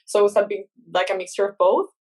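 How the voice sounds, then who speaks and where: A woman's voice: mid-range voice, quick pace, a little mellow. A woman in her thirties from Chile with a Chilean Spanish accent, speaking English as a second language as an interview guest, thoughtful and full of fillers and restarts. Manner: thoughtful, many fillers and restarts, laughs often. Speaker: guest artist. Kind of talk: casual interview chat.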